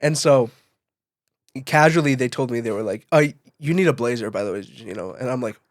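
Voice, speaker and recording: A man's voice: medium pitch, animated, a little fast, no echo, studio quality. A man co-hosting a podcast, telling stories in a casual, informal way and acting out bits of conversation. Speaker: podcast co-host, event photographer. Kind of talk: casual podcast chat, storytelling.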